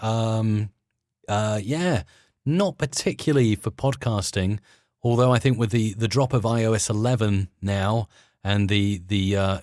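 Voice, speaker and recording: A man's voice: lowish voice, a little mellow, no room sound, studio quality. A man speaking as an interview guest, explaining his craft casually and with enthusiasm, hesitating as he searches for words. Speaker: interview guest, audio producer.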